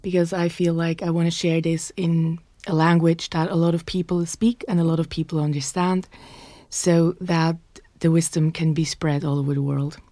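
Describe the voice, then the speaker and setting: A woman in her thirties with a Swiss German accent, hosting her own podcast in an earnest, frank monologue, often adding "like" between quick bursts. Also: low-pitched, little pitch movement, dark tone, dry recording. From Switzerland. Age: 30s. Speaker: podcast host.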